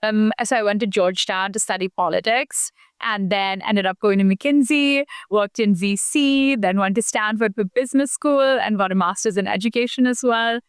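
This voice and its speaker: A woman's voice: medium pitch, normal speed, hardly any pauses, clean tone, no room sound, clean studio sound. A young woman from India, a podcast guest, talking casually and chattily with many fillers. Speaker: podcast guest, founder and matchmaker. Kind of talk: casual interview talk.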